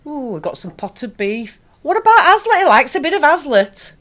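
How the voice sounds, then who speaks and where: A woman's voice: high-pitched, big pitch swings, normal speed, a bit bright. A woman acting a chatty, nosy Yorkshire shopkeeper in a radio play, gossipy and a bit saucy. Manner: gossipy, colloquial.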